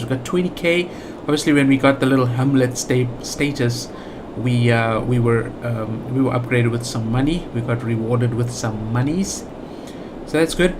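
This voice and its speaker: Rambling South African gamer, noisy recording. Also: male voice, medium pitch. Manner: rambling, thinks aloud with many fillers and restarts. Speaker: gaming channel host. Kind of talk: casual let's play commentary.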